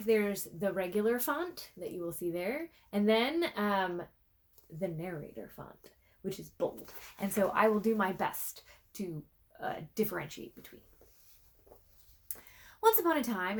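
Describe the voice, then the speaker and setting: Woman reading a storybook with dramatic hush. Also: medium pitch. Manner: dramatic, with hushed phrase endings. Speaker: storybook reader. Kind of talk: expressive read-aloud narration.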